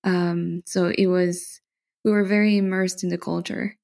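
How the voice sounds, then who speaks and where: A woman from Bolivia, a podcast guest, talking in a relaxed, chatty way. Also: lowish voice, a little slow, vocal fry. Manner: relaxed, chatty, with frequent fillers and soft laughs. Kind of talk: casual interview chat.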